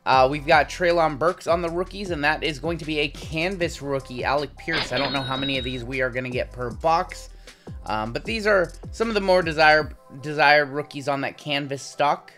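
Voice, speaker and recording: A man in his thirties with a sore throat and a slightly raspy voice, chatting casually through a pack opening. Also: a little high, normal speed, faint music behind. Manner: relaxed, chatty running commentary with frequent "uh"s. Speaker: card-breaking channel host. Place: indoor home studio with logo backdrop.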